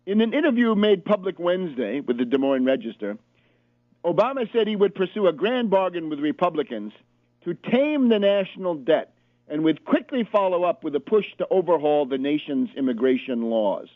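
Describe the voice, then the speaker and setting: Male radio host ranting about politics, kinda high voice, phone-line tinny, dry. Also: very animated, normal speed, dry recording. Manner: emphatic, reads quotes aloud and comments sharply; hesitant fillers between points. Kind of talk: political commentary radio show.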